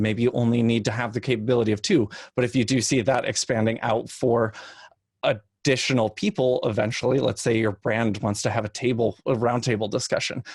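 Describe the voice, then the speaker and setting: A man giving an informal instructional panel talk in a measured, explanatory way, speaking into a microphone in front of him. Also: medium pitch, darkish tone, no echo, studio quality. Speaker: podcast production expert on a panel. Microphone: standard microphone in front of his face.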